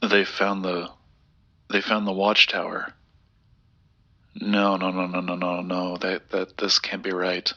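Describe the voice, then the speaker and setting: Casting: an agitated male true believer for audio drama, tense and bright, slow, halting, urgent. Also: sits low, monotone, lo-fi sound, exaggerated voice. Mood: stunned disbelief. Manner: urgent, halting, repeats himself. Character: obsessive, agitated man convinced of the supernatural.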